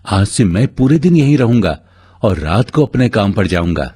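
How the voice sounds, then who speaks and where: Man acting a husky, mellow kindly stranger. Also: fairly low pitch, very animated, moderate pace, dry recording, clean studio sound. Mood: earnest, reassuring. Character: kind, mysterious male stranger turned devoted adoptive brother. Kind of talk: animated moral story dialogue.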